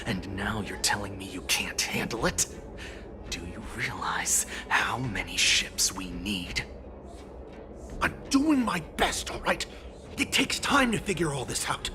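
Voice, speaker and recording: A man's voice: lowish voice, very animated, a little slow, music in the background. A man playing an irritable, harassed villainous conspirator in a video game. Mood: exasperated.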